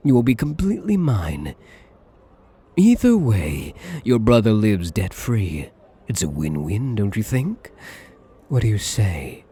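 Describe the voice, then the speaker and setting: A smug, possessive rich gambler, acted by a man, speaks in a breathy, husky voice for an intimate ASMR roleplay. He takes his time over every phrase, swooping widely in pitch as he teases. The tone is dark and suave, full of theatrical endearments. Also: sing-song, takes it slowly, full character voice. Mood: seductive, possessive. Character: possessive, smug, wealthy male gambler doting on captive lover.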